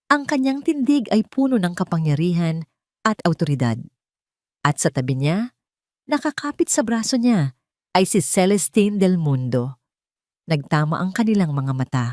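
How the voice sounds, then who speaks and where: Low, mellow woman narrating romance drama. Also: no room sound, studio quality. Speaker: storyteller.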